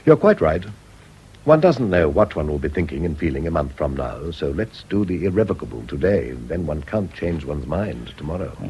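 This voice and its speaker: An actor playing a wealthy, well-spoken English gentleman, polite and evasive, in a radio drama. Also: very deep voice, expressive, moderate pace. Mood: resolute. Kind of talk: BBC radio drama acting. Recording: noisy radio drama recording.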